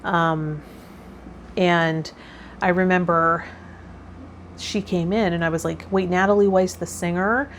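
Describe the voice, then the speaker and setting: A woman's voice: lowish voice, steady tone, slow, long pauses. A woman hosting a personal vlog, talking casually in a rambling, self-effacing way. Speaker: host of a tarot vlog. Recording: clear background noise.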